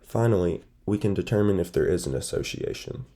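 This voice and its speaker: A young man with a stuffy, nasal voice from a sinus infection, reading a formal class presentation in a measured, steady way. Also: low-pitched. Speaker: student presenter.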